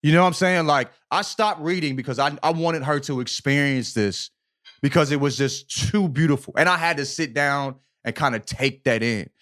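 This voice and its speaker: A man's voice: on the high side, normal speed, a bit bright. A man co-hosting a podcast, chatting casually and earnestly about a book, with effusive, loose, profane phrasing. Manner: profane, repeats "you know what I'm saying". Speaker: podcast co-host. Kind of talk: casual book club chat.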